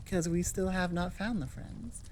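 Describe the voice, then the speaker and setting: A woman's voice: lowish voice, moderate pace, a little mellow, a little hiss or hum. A woman hosting her own channel, chatting casually to listeners in a rambling, playful, self-correcting way. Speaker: ASMR creator, channel host. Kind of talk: casual chat outro to listeners.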